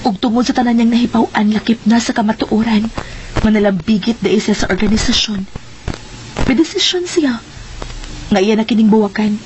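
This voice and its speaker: A female actor's fairly high-pitched, anxious woman in a radio serial, speaking earnestly and with emphasis. There is faint noise on the recording. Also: a little fast, a little mellow, dull audio, close to an everyday voice. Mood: earnest, troubled. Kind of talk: radio drama acting.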